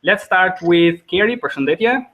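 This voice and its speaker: A man's voice: sits high, animated, measured pace, a little hiss or hum. A young man from Albania with an Albanian accent, teaching an informal online language lesson as host. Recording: dull lo-fi video call audio.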